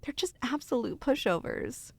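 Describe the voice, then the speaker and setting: A woman's voice: sits high, expressive, normal speed, gravelly, a little mellow, slightly rough, no room sound, exaggerated voice. A young woman playing an affectionate, chatty girlfriend who reassures her partner in an intimate ASMR roleplay. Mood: playful, teasing. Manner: playful, reassuring, storytelling to one listener. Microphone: close studio mic.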